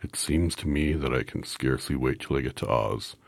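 A calm tin woodman from a storybook, as a man reads him. The voice is very deep and gravelly, close to the reader's own. It keeps a husky grain. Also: brisk, no room sound, heavily acted.

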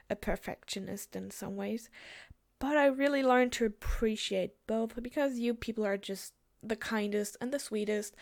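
A woman's voice: on the high side, vocal fry. German woman with a lisp, chatty. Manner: hesitant, giggly, lots of fillers. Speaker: audio creator answering listener questions. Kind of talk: casual chat.

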